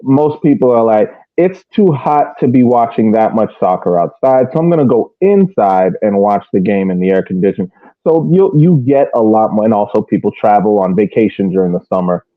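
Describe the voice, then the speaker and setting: A man's voice: lowish voice, expressive, moderate pace, no room sound. A man co-hosting a sports podcast in casual chat, often repeating words as he restarts phrases. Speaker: soccer podcast co-host. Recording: tinny phone-like line, dry.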